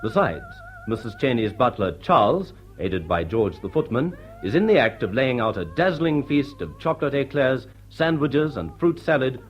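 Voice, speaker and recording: A man's voice: medium pitch, big pitch swings, moderate pace, hardly any pauses, highs cut off, soft background music. A man narrating a radio drama in a leisurely, wry storytelling manner. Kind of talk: scripted radio drama narration, scene-setting.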